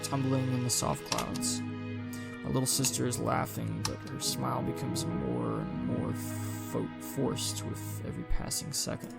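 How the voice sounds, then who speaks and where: A man with a creaky, gravelly voice reading a visual novel aloud as a game streamer, with casual, sweary asides. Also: medium pitch. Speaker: gaming streamer doing a longplay. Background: game music.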